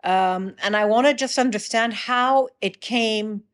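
A woman's voice: on the high side, unhurried. A middle-aged woman hosting an interview, asking questions and often giving short agreements. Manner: warm, affirming listener with many short agreements. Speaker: podcast host. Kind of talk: casual interview conversation.

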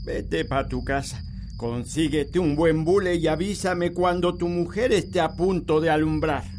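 An actor playing an old male village healer who gives calm, firm instructions. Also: on the high side, a little slow, big-room sound, noisy background, natural voice. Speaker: actor in a sound drama.

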